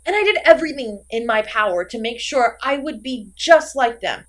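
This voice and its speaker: Woman acting a high-pitched, melodramatic failed slasher. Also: expressive, moderate pace, natural voice. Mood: wistful, dramatic. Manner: theatrical, exasperated, swings between sulky and manic. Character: failed slasher killer, woman, melodramatic, insecure, petulant, comically chatty. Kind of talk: comedic horror audio roleplay, acted monologue to listener.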